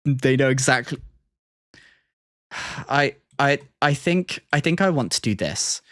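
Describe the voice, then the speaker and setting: A man doing casual livestream commentary, reacting and ranking in a halting, think-aloud way. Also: mid-range voice, animated, fairly bright tone, no room sound, clean studio sound. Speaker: streamer reacting to and ranking game trailers. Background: music.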